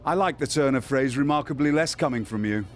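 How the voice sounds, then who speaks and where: A man playing a businesslike, wry wrestling show boss in a video game cutscene, measured in manner. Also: medium pitch, soft background music, natural voice.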